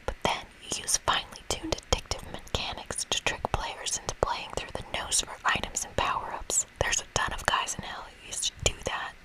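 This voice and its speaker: A woman reading a video game aloud in a breathy ASMR whisper, playful and expressive, with casual asides. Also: moderate pace, few pauses, rough recording. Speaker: ASMR gamer reading a game's dialogue aloud. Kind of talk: whispered ASMR let's play.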